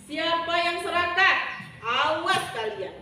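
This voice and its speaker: A greedy, vengeful strongman of storybook legend, put on by a woman, speaks in boasts and threats. The voice is high and forceful, ringing in a very echoey room with clear noise. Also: unhurried, distant mic. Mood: angry threat.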